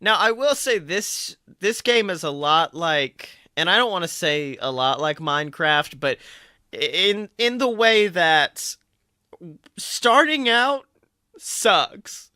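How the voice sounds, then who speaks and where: Man, podcast host, very bright sharp voice, close dry sound, casual joking slang. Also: sits high, takes it slowly, clear, resonant tone, dry recording. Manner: animated, joking, slangy, laughs readily. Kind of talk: casual chat about video games.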